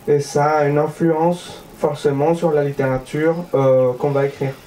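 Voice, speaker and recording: A man giving a plain, steady interpreting voice-over. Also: fairly high pitch, measured pace. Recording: distant, roomy voice with faint ambience.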